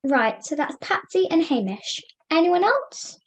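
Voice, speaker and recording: A woman's voice: very high voice, very animated, a little slow, full character voice. A young woman acting a polite, flustered puppet who chairs a meeting in an amateur puppet show. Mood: orderly, hesitant.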